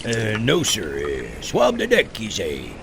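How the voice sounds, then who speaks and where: A man's voice: fairly low pitch, huge pitch swings, takes it slowly, creaky, noisy background, heavily acted. A man playing a hapless, grumbling young pirate deckhand dog in a comic audio drama, hesitant and whiny. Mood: bewildered. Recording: music under the voice.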